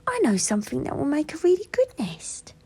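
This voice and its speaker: Woman voicing a high-pitched eager little teddy bear. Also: huge pitch swings, a little fast, warm tone, soft background music, exaggerated voice. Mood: eager, helpful. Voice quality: high, breathy. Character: small, timid, curious young male teddy bear.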